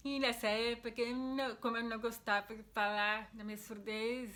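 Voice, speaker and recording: A woman's voice: fairly high pitch, little pitch movement, normal speed, a bit bright. Young woman with a deaf accent. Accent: Brazilian Portuguese. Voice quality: deaf speech, heard by others as a foreign-sounding accent. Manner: earnest, explanatory, with frequent restarts. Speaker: vlogger. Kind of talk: casual personal explanation to camera.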